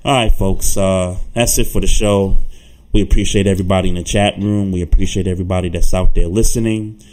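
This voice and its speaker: Casting: very low-pitched man, easygoing podcast co-host, stammers and restarts. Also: fairly quick. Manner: relaxed, stammers and repeats words. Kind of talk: casual sports talk.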